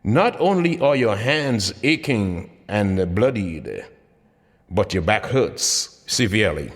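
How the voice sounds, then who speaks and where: A man's voice: fairly low pitch, very animated, slow pace. A man reading his own motivational book aloud as scripted narration, with dramatic, emphatic delivery. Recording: long hall-like reverb.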